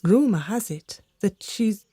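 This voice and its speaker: A woman's voice: mid-range voice, expressive, slow, dark tone, husky, no echo, clean studio sound. A woman playing a fretful, gossiping servant of a noble house in a radio drama, melodramatic and confiding. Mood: gossipy, pitying.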